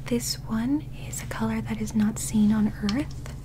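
Young woman whispering softly, noisy background. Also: fairly high pitch. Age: young adult. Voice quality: soft, breathy whisper. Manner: gentle, measured, clinical instructions. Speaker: ASMR performer playing an alien medical examiner. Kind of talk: soft-spoken ASMR medical roleplay. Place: small indoor set.

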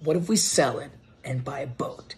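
An American man in his thirties telling a story casually to camera, animated and halting as he repeats words. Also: mid-range voice. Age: 30s. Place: home kitchen, indoors. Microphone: phone propped on table at arm's length.

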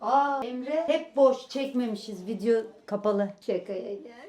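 A woman's voice: fairly high pitch, a little slow, mellow. A middle-aged woman, a mother joining in her son's vlog with casual, offhand banter.

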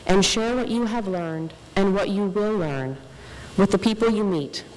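A young woman giving an earnest, measured inspirational speech as a faculty speaker at a podium microphone. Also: mid-range voice, darkish tone. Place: large indoor ceremony hall, on stage. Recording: reverberant hall with clear background noise.

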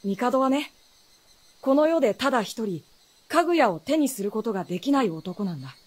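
A woman's voice: a little high, expressive, normal speed, darkish tone. A woman playing a guarded, knowing adolescent boy in a Japanese fantasy radio drama, speaking in a boyish, matter-of-fact way. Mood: solemn, explaining.

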